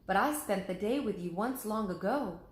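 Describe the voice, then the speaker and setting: A woman's voice: mid-range voice, expressive, echoey room, close to an everyday voice. A woman voicing a worried, thoughtful older man in a storybook reading. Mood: measured. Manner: slow, measured, hushed.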